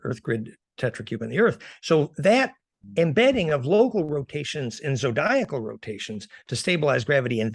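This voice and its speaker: A man with a fry-laced, gravelly voice in a very dry recording. He talks like a guest lecturer in an interview, didactic and thick with technical jargon. He repeats words to drive a point home. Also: a little high, dry recording. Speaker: guest lecturer on fringe physics. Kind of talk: technical explanation in a podcast interview.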